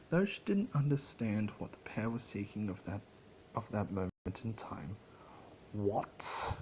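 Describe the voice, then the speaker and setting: A man's voice: on the low side, darkish tone, slight background noise, rough recording. A man reading a story aloud as dramatic narration, now and then stumbling over the written words. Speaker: story reader.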